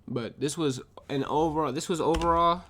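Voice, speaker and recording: A man's voice: a little high, normal speed, fairly bright tone, a little hiss or hum. A man with a sniffly nose, reviewing his own trades aloud in a casual, profane recap, often muttering to himself.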